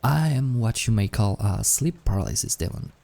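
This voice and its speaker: A man playing an incubus demon in an intimate roleplay audio, with a husky, breathy voice that often drops toward a whisper. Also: fairly low pitch, lively intonation, normal speed, dark tone. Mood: gentle, awkward, soothing. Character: incubus sleep paralysis demon, menacing turned awkwardly tender.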